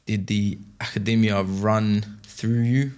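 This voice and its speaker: Soft-spoken man hosting a podcast. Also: fairly low pitch, steady tone, slow pace, clear, resonant tone. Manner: soft-spoken, many quiet backchannels. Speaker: podcast host. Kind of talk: interviewing a guest.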